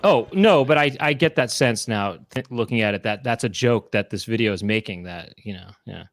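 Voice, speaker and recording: A man's voice: on the low side, moderate pace, no echo. A man in his thirties co-hosting a casual live online chat show from a small room at home, talking into a handheld mic in a rambling, self-correcting way. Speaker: co-host. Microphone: handheld mic with headphones.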